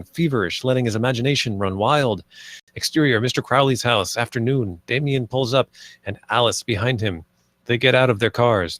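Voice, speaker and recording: A man's voice: mid-range voice, dry recording. A man narrating scripted stage directions at a screenplay table read.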